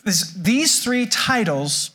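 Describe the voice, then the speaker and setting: A man with a high voice preaches a sermon to his congregation. He explains scripture thoughtfully, often restarting and repeating words as he goes. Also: very animated, slow pace, sharp tone. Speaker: pastor.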